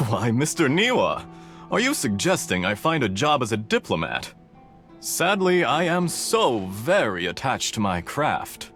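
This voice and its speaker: An actor playing a suave, calculating foreign mechanic in a video game, courtly and measured with smug amusement under the politeness. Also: male voice, medium pitch, sing-song, fairly bright tone, faint music behind. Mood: amused, sly. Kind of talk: scripted game dialogue.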